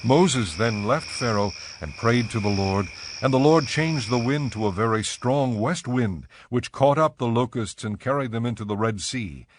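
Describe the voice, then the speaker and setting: A man's voice: mid-range voice, expressive, moderate pace, slight background noise. A man narrating scripture as the scripted narrator of a dramatized audio Bible.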